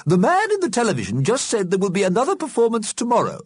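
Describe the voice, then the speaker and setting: A man's voice: sits high, wildly swooping pitch, quick pace, breathy edge, no echo, voice pushed up, exaggerated voice. A man voicing a prim, bossy dead matron who is easily shocked, in a storybook reading. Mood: indignant, prim. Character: prim, scolding dead matron, female ghost.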